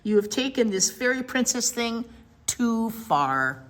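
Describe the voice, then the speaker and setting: A woman's voice: on the high side, very animated, unhurried. A woman voicing an exasperated young schoolgirl, blunt and indignant, in a children's storybook. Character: exasperated young schoolgirl, the heroine's best friend.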